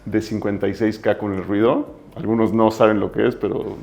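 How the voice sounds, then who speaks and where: A Mexican man in his forties with a Mexican accent, a guest telling his story in a relaxed, self-deprecating way. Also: fairly low pitch, moderate pace, gravelly, dull audio, a little hiss or hum. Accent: Mexican Spanish. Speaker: entrepreneur guest. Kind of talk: casual interview storytelling.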